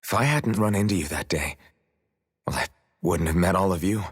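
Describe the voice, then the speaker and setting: A man playing a weary, gentle, reflective swordsman hero with a husky voice in a video game cutscene. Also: sits low, normal speed. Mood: pensive, nostalgic.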